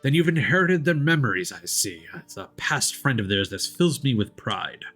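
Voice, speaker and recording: A man voicing a wise, gentle old forest spirit guardian from a video game, with formal, measured speech. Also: mid-range voice, very animated, soft background music. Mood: gladdened.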